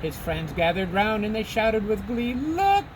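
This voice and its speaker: A man's voice: sits high, normal speed, slight background noise. A man from a school giving a children's storybook read-aloud to students. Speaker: school staff reading to students.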